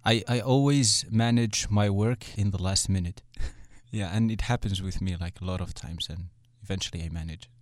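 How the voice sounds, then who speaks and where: A man's voice: low-pitched, moderate pace, dry recording, studio quality. A man, easygoing and self-deprecating as an interview guest. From Morocco. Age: 20s.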